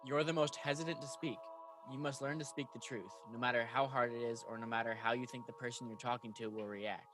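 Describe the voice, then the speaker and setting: A man's voice: medium pitch, fairly even intonation, brisk, music in the background, natural voice. Stern, dutiful archangel played by a man. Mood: solemn, probing. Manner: earnest, solemn, instructing. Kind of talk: radio play acting.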